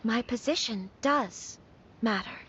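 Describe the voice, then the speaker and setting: A woman's voice: on the high side, takes it slowly, a bit bright. An actress playing a polite, earnest young noblewoman in a video game, formal and a little hesitant. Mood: troubled, hesitant. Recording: dull lo-fi game stream, faint noise.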